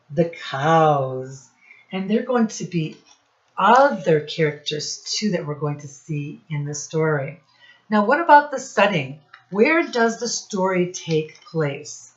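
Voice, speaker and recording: A woman with a slightly low voice reads a picture book to small children at a very unhurried pace. She speaks like an encouraging teacher, addressing the children directly and leaning on key words. The recording is dull and lo-fi. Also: echoey room. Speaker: teacher reading a storybook aloud. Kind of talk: children's read-aloud with questions to young listeners.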